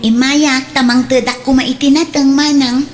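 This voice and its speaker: Woman acting a high-pitched, hopeful working wife. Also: flat delivery, moderate pace, close to an everyday voice. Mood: earnest, hopeful. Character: hopeful, persevering younger sister and market-selling wife. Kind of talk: radio drama acting.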